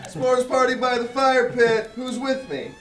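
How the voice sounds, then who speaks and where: A man's voice: very high voice, level tone, a little slow, fairly bright tone, soft background music. A man playing an eager, goofy party lover at a live comedy table read. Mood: excited. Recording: echoey distant room with crowd.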